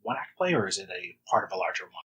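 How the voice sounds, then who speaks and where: A man hosting a casual podcast interview in a measured, understated, slightly hesitant way. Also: deep voice, very animated, a bit bright, breathy edge, rough recording. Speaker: podcast host.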